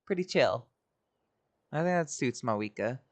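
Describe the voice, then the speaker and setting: A woman's voice: very deep voice, expressive, unhurried. A woman giving casual gameplay commentary as a streamer, reacting to game scenes.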